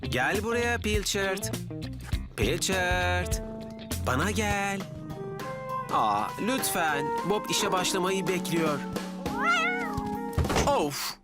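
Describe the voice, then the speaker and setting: Eager young cartoon apprentice, loud music. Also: male voice, sits high, very slow, long pauses, heavily acted. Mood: pleading. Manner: eager, expressive. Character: eager young male apprentice builder, confident but bumbling. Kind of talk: animated children's cartoon dub.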